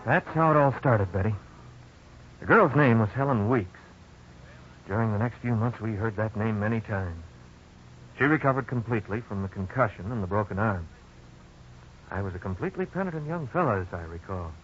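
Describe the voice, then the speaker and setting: Actor as an animated storyteller, old radio drama. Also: male voice, fairly low pitch, moderate pace, pauses often, natural voice. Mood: reflective, explanatory. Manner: folksy, expressive storytelling. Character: grown-up Vic, earnest man recalling his youthful blunder. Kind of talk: 1950s radio drama first-person narration. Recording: dull, noisy old radio recording.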